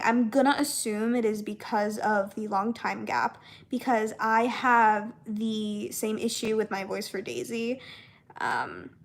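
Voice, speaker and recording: Young female, higher register, very unhurried delivery; off-the-cuff casual discussion, halting and meandering. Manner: halting, rambling, with trailing thoughts and repeated words. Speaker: voice actor and comic dub creator chatting with viewers.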